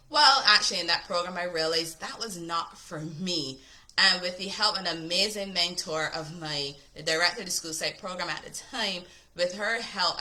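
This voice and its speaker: A woman's voice: lowish voice, pushed and bright. A woman from Barbados in her thirties, a business coach, talking to camera in a chatty, upbeat way and often asking "right?". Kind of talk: casual talk to camera.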